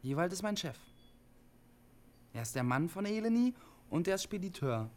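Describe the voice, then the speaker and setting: Stubborn lonely young dude spinning stories on cb, voice a bit high, matter of fact, radio drama. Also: male voice, very animated, normal speed, a little mellow. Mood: matter-of-fact, insistent. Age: young adult. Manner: stubborn, matter-of-fact storytelling in short clipped statements. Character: defiant, lonely 25-year-old man inventing stories on CB radio. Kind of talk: naturalistic German radio drama acting.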